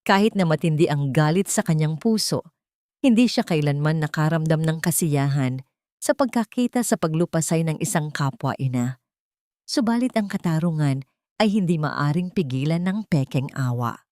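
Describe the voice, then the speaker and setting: Woman narrating drama, low-pitched. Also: expressive, moderate pace, darkish tone, no room sound, clean studio sound. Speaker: story narrator. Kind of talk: dramatic scripted story narration.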